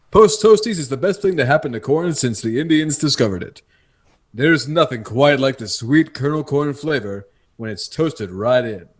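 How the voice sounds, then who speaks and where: A male commercial announcer delivers a vintage radio ad in a folksy, enthusiastic sales manner. His voice is medium in pitch.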